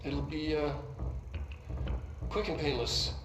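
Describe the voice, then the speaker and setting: An actor playing an awkward, nervy scientist in a video game, giving a halting, informal briefing with a dry, rambling manner. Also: male voice, fairly high pitch. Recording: roomy echo with clear background noise.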